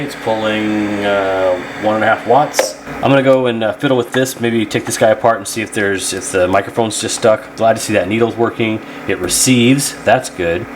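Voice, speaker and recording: A man's voice: on the low side, noisy background. A man hosting a repair video, narrating casually and thinking aloud as he works in a loose, rambling way. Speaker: host of a radio repair channel.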